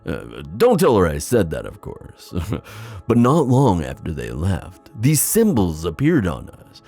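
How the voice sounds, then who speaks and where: A man playing a wistful, theatrical sea god in an ASMR fantasy roleplay, musing with hesitant pauses and drawn-out phrasing. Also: sits low, huge pitch swings, creaky, warm tone, faint music behind, heavily acted. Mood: amused, wry.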